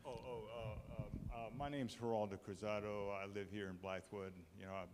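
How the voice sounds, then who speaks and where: A man's voice: on the low side, steady tone, fairly quick, noisy background. A retired military man from South Carolina, a resident asking questions at a public meeting and hesitating often. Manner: hesitant, trailing off, many fillers. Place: town meeting hall. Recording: long reverb of a meeting hall.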